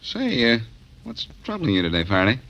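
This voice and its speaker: A man's voice: medium pitch, wildly swooping pitch, fairly quick, gravelly, bright, forceful tone, heavily acted, rough recording. A man playing a confident, glib, wry amateur detective in 1940s radio acting style. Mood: breezy, needling. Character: wisecracking confident male amateur sleuth. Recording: vintage 1940s radio recording.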